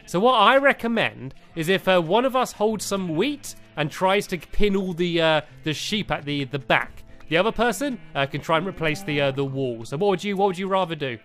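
A man's voice: high-pitched, normal speed, hardly any pauses, soft background music. Rambling man narrating gameplay. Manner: rambling, filler-heavy, breaks into excited yelps. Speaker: gaming co-host. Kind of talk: casual gameplay chat.

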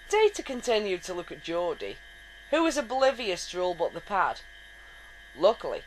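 A woman's voice: on the low side, slight background noise, low-quality recording. A woman giving a wry, dramatic reading of fan fiction. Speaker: reader.

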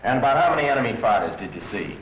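A fast-talking officer puts brisk debriefing questions. He sounds mid-pitched, in an echoey room on muffled, noisy old film. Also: big pitch swings, a bit bright.

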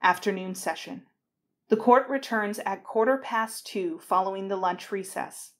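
A woman reading formal scripted narration of a courtroom trial in a measured, announcing manner. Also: medium pitch, noisy background, close to an everyday voice. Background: music.

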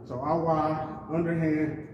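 A man explains a workout drill in plain, easygoing coaching talk, laying out each step. His slightly raised voice carries from far off across a large indoor gym, with a long ringing echo and steady background noise. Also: fairly high pitch, a little slow, lo-fi sound. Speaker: fitness coach. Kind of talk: casual workout instruction. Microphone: far camera.

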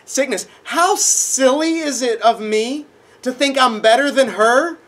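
A young man preaching a sermon in a dark auditorium, a little nasal from a cold, animated and acting out voices in his stories. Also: very high-pitched, a little slow. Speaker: pastor. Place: dark auditorium with projected screen.

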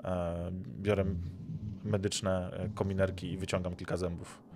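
A man playing a tabletop role-playing game, casually describing his actions with hesitant pauses. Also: low-pitched, little pitch movement, background noise. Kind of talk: casual first-person game play.

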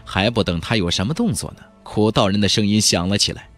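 A man's voice: on the low side, fast, fairly bright tone, faint music behind. A man narrating a fantasy novel as a dramatic scripted audiobook.

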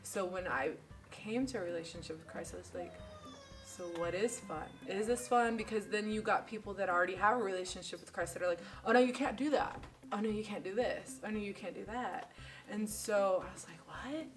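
A woman in her twenties sharing her story casually as a panel guest, talking in quick animated bursts. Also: mid-range voice, recorded from a distance, music in the background. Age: 20s. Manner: animated, fast bursts with 'like' and 'you know'. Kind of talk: casual testimony. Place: small wood-paneled studio room.

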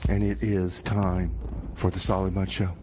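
A man's voice: sits low, level tone, moderate pace, noisy background. A man hosting a community radio show in a casual, laid-back way, often repeating his phrases.

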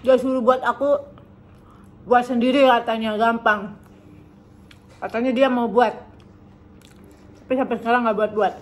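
A woman in her thirties from Indonesia, chatting casually through a food review and humming while she eats, filmed in a bright living room by a camera on the table. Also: fairly high pitch, steady tone, measured pace, long pauses. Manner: chatty, savoring, hums while eating. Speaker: food vlogger. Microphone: camera on table in front.

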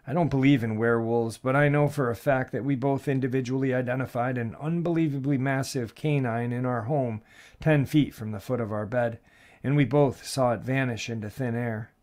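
A man calmly reading out listeners' first-person stories of frightening encounters. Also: medium pitch, moderate pace. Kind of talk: steady storytelling narration.

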